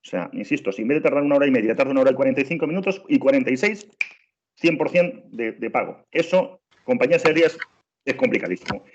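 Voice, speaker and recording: A middle-aged man from Spain speaks with a clear Spanish accent. He presents in an insistent way, repeats himself and restarts his sentences often. The sound is dull and lo-fi, as on a video call. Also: medium pitch, lively intonation, quick pace, slight background noise. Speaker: train travel trainer. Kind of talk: webinar presentation.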